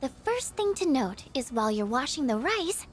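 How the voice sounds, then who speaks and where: Game role: shy, sincere schoolgirl, high pitch, gentle and earnest, slightly hesitant. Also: sing-song, normal speed. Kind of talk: video game voice acting.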